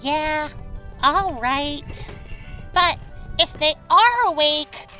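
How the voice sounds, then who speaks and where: A woman's voice: high voice, animated, drawn-out words, sharp tone, faint music behind, voice pushed up, full character voice. A woman voicing an eager, curious young girl who pleads hesitantly in a fantasy roleplay audio drama. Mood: reluctant.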